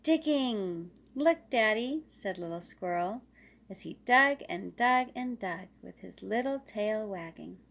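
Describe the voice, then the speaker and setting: Slow, creaky woman reading a storybook. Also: medium pitch, a bit bright. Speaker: children's story reader. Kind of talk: scripted storybook narration.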